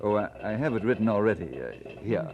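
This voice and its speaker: A dull vintage radio recording of a romantic preacher. He speaks with courtly, formal phrasing and earnest sentiment, his pitch moving freely in classic radio drama style. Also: very animated, fairly quick. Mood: embarrassed. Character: earnest, romantic Scottish-born preacher in love, adult man. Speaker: actor in a religious radio drama. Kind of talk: old-time radio drama acting.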